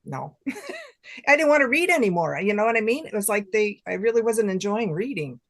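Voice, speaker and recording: A woman's voice: mid-range voice, big pitch swings, moderate pace. An older woman chatting casually and informally as a guest author in an interview, with a giggly streak. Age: late 50s to 60s.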